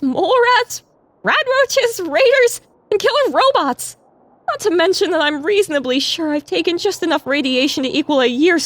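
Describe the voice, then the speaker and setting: A woman voicing a troubled young filly survivor, blunt and emotional, in an audio drama. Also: very high voice. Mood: frustrated. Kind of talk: audio drama character acting.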